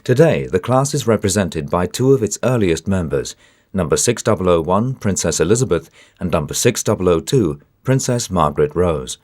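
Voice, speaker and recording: A man's voice: low voice, expressive, moderate pace, a little mellow, no echo, studio quality. A man reading scripted narration for a documentary.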